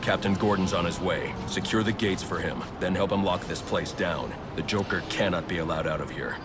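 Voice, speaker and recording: An actor playing a grim, brooding, driven masked vigilante in a dramatic video game cutscene. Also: male voice, deep voice, moderate pace, natural voice. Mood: resolute. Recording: loud music behind.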